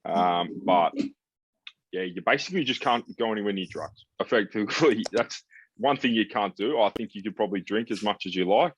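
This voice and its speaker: A man's voice: fairly low pitch, brisk, slightly rough. A man hosting a sports podcast in casual conversation, talking in a blunt, laid-back, matey way. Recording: slightly dull, lo-fi sound.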